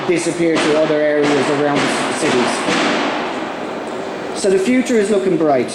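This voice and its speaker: A man with an Irish accent and a cold, giving a conference presentation with many ums and restarts. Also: a little high. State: has a cold. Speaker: conference speaker. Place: conference hall. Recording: echoey hall with background noise.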